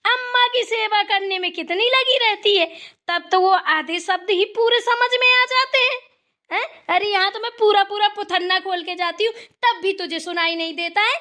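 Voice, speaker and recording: Woman acting a village mother-in-law, extremely high-pitched, sharp bright tone, short-tempered, nagging, sarcastic, cartoon family drama. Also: normal speed. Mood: sarcastic anger. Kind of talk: Hindi family cartoon dialogue.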